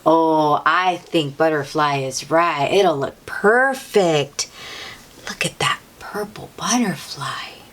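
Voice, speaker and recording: A woman's voice: sits low, takes it slowly. A woman reading a picture book aloud to young children in an encouraging, sing-song manner, as the host. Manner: animated, encouraging, sing-song talk addressed to small children.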